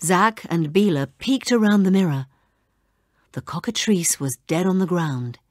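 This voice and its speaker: A woman narrating a children's read-along story in an expressive way. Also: lowish voice, measured pace, heavy background noise.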